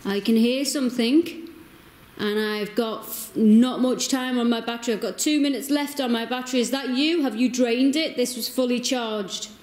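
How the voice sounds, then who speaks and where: A woman's voice: a little high, normal speed, darkish tone. A woman in her thirties investigating the paranormal in a cave, calling out to spirits and commenting to camera. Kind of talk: ghost-hunt calling out and running commentary. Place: inside a cave at night. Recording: roomy cave echo, clear background noise.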